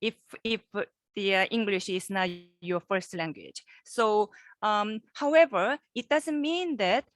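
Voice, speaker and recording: A woman professor giving a guest lecture over a video call, halting and repeating words, often checking in with her listeners. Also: mid-range voice, dry recording. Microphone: computer microphone on a video call.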